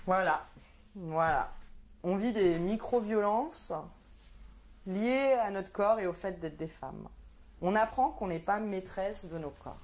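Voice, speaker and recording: A woman's voice: medium pitch, takes it slowly, darkish tone. A woman in her thirties performing a stand-up comedy monologue, wry and sardonic, with frequent hesitant fillers. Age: 30s.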